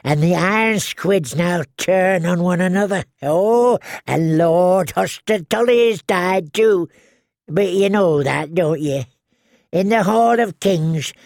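A man voicing an ancient, tiny dwarf woman seer who speaks slowly and eerily with a croaking, drawn-out voice. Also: high voice, darkish tone, no echo, studio quality, voice pushed up, exaggerated voice. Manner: slow, wheedling, prophetic. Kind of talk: audiobook character voice.

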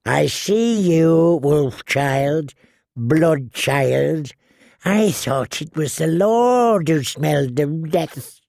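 A man voicing an ancient, tiny dwarf woman seer who speaks slowly and eerily with a croaking, drawn-out voice. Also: on the high side, lively intonation, darkish tone, no echo, clean studio sound, exaggerated voice. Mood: ominous. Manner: slow, wheedling, prophetic. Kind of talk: audiobook character voice.

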